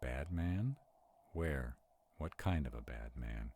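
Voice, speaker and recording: A man's voice: rumbling low, animated, slow, a little mellow, a little hiss or hum, exaggerated voice. A man playing a sarcastic, protective vampire in a conversational audio roleplay. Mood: uneasy, wry. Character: sarcastic male vampire, protective of a young demon companion.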